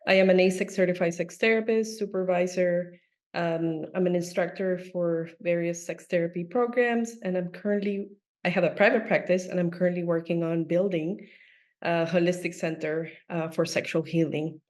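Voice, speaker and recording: Woman, from Peru, sex therapist, lower voice, cheerful, video call. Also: fairly even intonation, normal speed, darkish tone.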